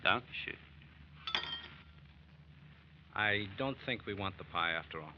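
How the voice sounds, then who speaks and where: An actor playing a wary German fugitive in an old-time radio drama, giving hesitant, evasive short answers. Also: male voice, lowish voice, animated, fairly quick, lightly acted. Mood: nervous, urgent. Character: wary, terse German male prisoner on the run. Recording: muffled, faintly noisy old radio recording.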